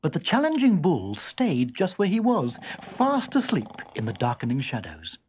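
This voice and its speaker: A man narrating a children's story dramatically, expressive and suspenseful, savouring every sound word. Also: fairly high pitch, normal speed, breathy edge.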